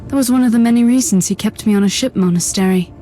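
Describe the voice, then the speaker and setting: A woman's voice: a little high, fast, warm tone, natural voice. A woman playing a cynical, defiant young heroine in a video game, with a dry, resolute delivery. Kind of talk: dramatic video game acting.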